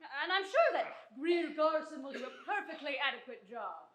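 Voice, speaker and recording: A woman's voice: sits high, big pitch swings, normal speed. A teenage girl on stage playing a wry, catty aging actress in a comic style. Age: teens. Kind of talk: broad stage comedy. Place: theater stage. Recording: echoey theater recording with audience.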